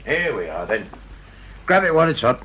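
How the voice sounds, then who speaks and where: A man's voice: mid-range voice, big pitch swings, moderate pace, bright, forceful tone, slightly rough, full character voice. Polite policeman, muffled recording. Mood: soothing. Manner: deferential, matter-of-fact, understated. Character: quiet, polite henchman posing as a police officer. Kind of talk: radio drama acting.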